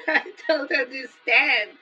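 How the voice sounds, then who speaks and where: A woman voices a neglected young woman pleading with her fiancé, her tone bright and sharp. She sounds hurt and reproachful. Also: high-pitched, drawn-out words, muffled recording, full character voice.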